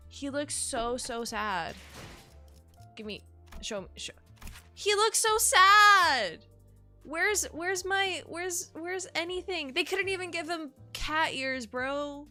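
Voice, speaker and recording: A woman's voice: high voice, lively intonation, takes it slowly, bright, forceful tone, soft background music. A woman streamer chatting casually with her audience while sick, nasal and sniffly, rambling and swearing. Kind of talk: casual live-stream chat.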